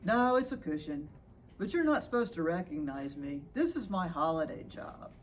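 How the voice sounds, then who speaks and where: A woman's voice: fairly low pitch, sing-song, moderate pace, distant mic, a little hiss or hum. A woman reading a storybook as a friendly, easygoing young art student working as a store Santa.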